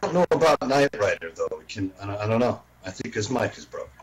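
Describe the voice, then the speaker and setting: A man's voice: fairly low pitch, very animated, quick pace. A man from Texas chatting casually as a radio hobbyist in an online voice chat. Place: indoors, home, online voice and video chat. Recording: noisy voice chat microphone.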